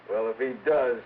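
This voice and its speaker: An actor playing a violent, swaggering crook with tough, slangy talk in a 1950s hardboiled radio drama. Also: male voice, mid-range voice, close to an everyday voice. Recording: tinny, noisy old radio recording.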